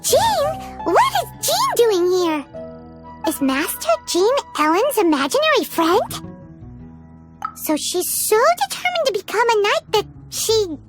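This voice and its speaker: A woman voicing a tiny, childlike, excitable fairy companion in a video game, bubbly and given to exclaiming. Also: very high-pitched, unhurried, faint music behind, full character voice. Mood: uneasy, puzzled. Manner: refers to itself in third person, exclaiming.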